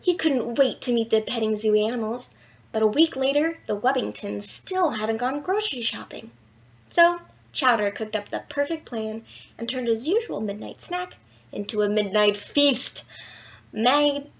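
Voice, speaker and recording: High-pitched woman reading a bedtime story. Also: lo-fi sound. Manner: expressive, playful, dramatic emphasis. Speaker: storyteller reading a children's picture book aloud. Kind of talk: animated bedtime story read-aloud with asides.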